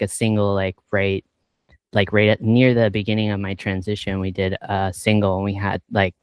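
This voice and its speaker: A man hosting a casual interview, speaking in a relaxed way with lots of filler words. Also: lowish voice, clean tone, dry recording. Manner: relaxed, filler-heavy, many likes. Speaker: interview host.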